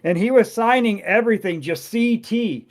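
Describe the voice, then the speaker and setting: A middle-aged man with a high-pitched voice hosts a loose, casual chat. He rambles through his stories, full of fillers and quick laughs. He swears freely. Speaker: podcast host.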